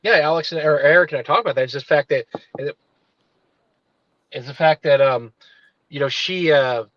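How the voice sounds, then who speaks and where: A man's voice: fairly high pitch, moderate pace, fairly bright tone, no room sound, muffled recording. Rambling man talking from his parked car. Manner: rambling, digressive, with many restarts. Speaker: livestream co-host. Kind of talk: casual chat.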